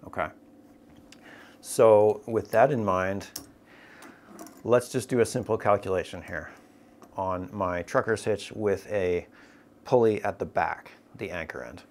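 A man's voice: on the low side, measured pace, pauses often. A man teaching a climbing rope technique, explaining step by step and checking in with "right?" as he goes. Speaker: climbing instructor. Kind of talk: tabletop demonstration lesson.

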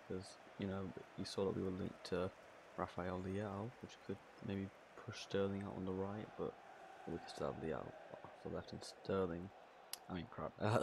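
Male gaming host, croaky and gravelly, excitable play-by-play over a noisy recording. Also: deep voice. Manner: excitable, swears and laughs at own play. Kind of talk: casual game commentary.

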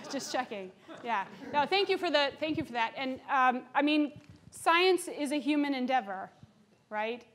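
A woman's voice: high voice, lively intonation, a little slow, fairly bright tone. A woman keynote speaker answering audience questions in a large lecture hall, thoughtful, with restarts and asides.

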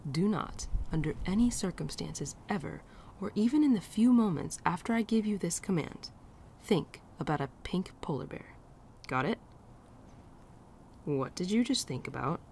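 A woman playing a gentle, teasing winter spirit in a girlfriend ASMR roleplay, speaking softly and tenderly, often dropping to a near-whisper. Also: lowish voice, expressive, unhurried, vocal fry, pauses often, a little hiss or hum. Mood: playful, teasing. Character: gentle, teasing female winter spirit, affectionate old friend. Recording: birdsong and outdoor ambience behind.

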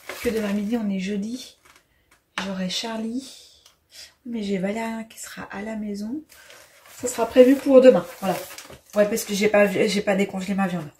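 A woman in her twenties chatting casually through a grocery haul in her home kitchen, unhurried, with many fillers and hesitations. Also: mid-range voice, background noise. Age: 20s. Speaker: vlogger. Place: bright home kitchen, indoors.

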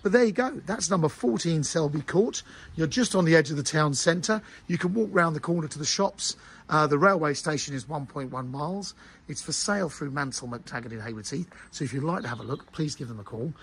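Hoarse estate agent giving a tour. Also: male voice, fairly high pitch, animated, fairly quick, a bit bright. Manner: chatty, informal, many fillers and restarts. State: hoarse, lost his voice. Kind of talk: walking property tour. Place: outdoors in a cul-de-sac, then inside a bungalow and garden.

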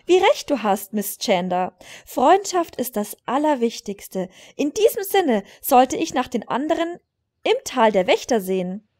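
Fantasy game mentor, read by a woman: courteous, grandiose wizard, high for the role, measured, expressive. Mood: kindly, earnest.

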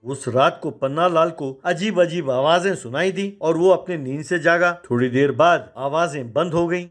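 Male narrator, slightly high pitch, scripted storytelling, music underneath. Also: normal speed.

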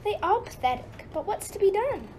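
A woman playing a brisk, disdainful goddess in a radio play. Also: extremely high pitch, big pitch swings, background noise.

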